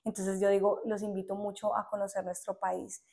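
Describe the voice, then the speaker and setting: A young Colombian woman in casual conversation, with a Colombian accent. She tells her stories in a lively, chatty way and laughs often. Her voice sits at a middle pitch. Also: normal speed. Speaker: guest teacher. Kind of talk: casual podcast interview.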